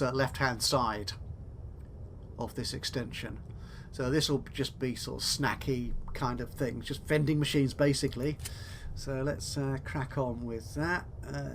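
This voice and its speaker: A man's voice: mid-range voice, slight background noise. A man giving casual let's play commentary, chatty and thinking aloud as he goes. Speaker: gaming video host.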